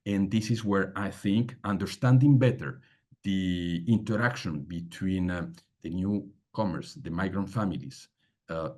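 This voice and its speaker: Deep-voiced man, halting panel talk. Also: a little mellow. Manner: halting, many fillers, emphatic. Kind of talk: panel talk on migration policy.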